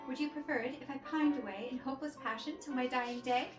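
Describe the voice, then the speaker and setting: A woman's voice: high-pitched, steady tone, fast talker, recorded from a distance, lightly acted. A woman voicing a proud, wounded young man in theatrical rhyming verse, as in a storybook play. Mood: huffy, indignant. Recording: roomy echo with music behind.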